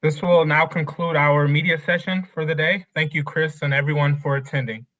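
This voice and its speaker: A man's voice: fairly high pitch, fairly even intonation, moderate pace, a bit bright. A man moderating a press conference, calling on reporters and closing the session in brief, formal words. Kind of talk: announcing questioners. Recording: dull, lo-fi sound.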